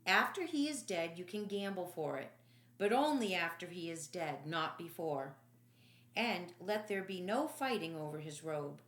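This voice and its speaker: Lady voicing a bossy roman captain in a story, stern, slow and deliberate, roomy echo. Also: fairly low pitch, animated, fairly bright tone. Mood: commanding. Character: stern commanding male Roman guard captain.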